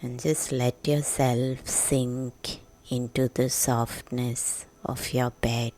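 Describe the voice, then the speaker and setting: A woman narrating a guided sleep meditation in a gentle, hushed, soothing manner with long pauses. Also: a bass voice. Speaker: meditation guide, podcast host.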